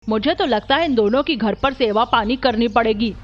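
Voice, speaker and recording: A woman's voice: on the high side, moderate pace, natural voice. A woman voicing a cruel, bossy middle-aged aunt in a cartoon, sneering and commanding, on a muffled recording with music. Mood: vindictive. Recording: muffled audio with music behind.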